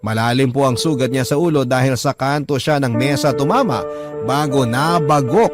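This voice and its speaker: A man's voice: medium pitch, moderate pace, lightly acted. An actor playing a remorseful grown son, earnestly and respectfully narrating his confession in a Filipino radio drama. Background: clear background music.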